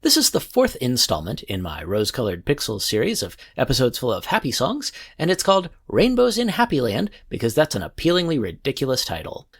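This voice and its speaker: A man hosting a music podcast, reading scripted commentary in a playful, animated way. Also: mid-range voice, fairly quick, few pauses, breathy edge. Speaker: podcast host. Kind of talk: scripted music show narration.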